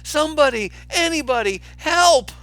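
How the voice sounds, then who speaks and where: A young lion cub from a children's storybook, played by a man reading aloud. The voice is pitched high and boyish, swinging widely with a pleading, trusting manner. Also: expressive, normal speed, fairly bright tone, dry recording. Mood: desperate, terrified. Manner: pleading, crying out.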